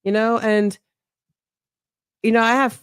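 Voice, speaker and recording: A woman's voice: a little high, steady tone, clean tone, no echo, clean studio sound. A middle-aged woman with a strong voice, speaking earnestly and reflectively as an interview guest, often checking in with "right?". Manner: earnest, reflective, measured with frequent "right?" tags. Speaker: mayoral candidate guest. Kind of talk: candid interview talk.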